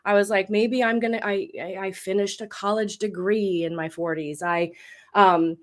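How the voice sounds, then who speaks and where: A midlife woman with a big voice, telling her story as a voice coach in a casual interview, lively and laughing often. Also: medium pitch, moderate pace. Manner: expressive storyteller with bursts of laughter. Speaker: voice coach and guest.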